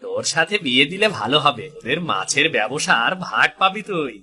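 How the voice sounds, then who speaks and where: A man's voice: medium pitch, very animated, brisk, sharp tone. A man voicing a greedy, envious, suspicious fisherman in a cartoon. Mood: scheming. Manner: suspicious, questioning. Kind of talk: Bengali cartoon voice acting.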